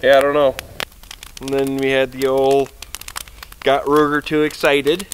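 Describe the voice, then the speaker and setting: A man's voice: mid-range voice, completely flat delivery, unhurried, noisy background. A young man rambling casually to camera at a winter campsite, talking easily with fillers. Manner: chatty and easygoing, rambling with fillers. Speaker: outdoor vlogger. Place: snowy forest campsite at night, outdoors by a fire. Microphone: camera on a tripod a few meters away.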